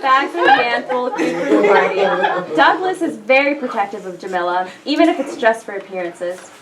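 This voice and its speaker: A woman's voice: a little high, animated, brisk, a loud crowd. A woman reading scripted stage directions as narrator at a staged play reading. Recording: clear background noise.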